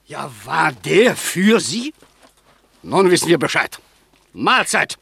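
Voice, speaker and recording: A disgruntled voter in a sixties radio drama. The voice is high, gravelly and sharp, loud with scorn. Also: male voice, very animated, takes it slowly, dry recording, exaggerated voice. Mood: scornful. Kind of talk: 1960s German radio play acting.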